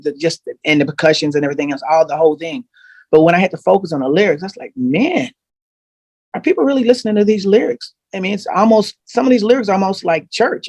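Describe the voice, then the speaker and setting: A man's voice: a little high, no echo. A man, a guest singer, chatting on a video call and telling stories with lots of restarts. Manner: animated, storytelling, frequent restarts. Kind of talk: casual chat on a video call.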